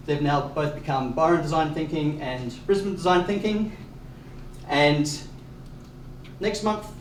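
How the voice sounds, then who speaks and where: A man's voice: a little high, far from the mic. Aussie guy hosting a meetup, lots of ums, echoey room, faint hiss. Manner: upbeat, many fillers, quick asides with chuckles. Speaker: meetup organiser and host. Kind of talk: event welcome and announcements. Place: event space.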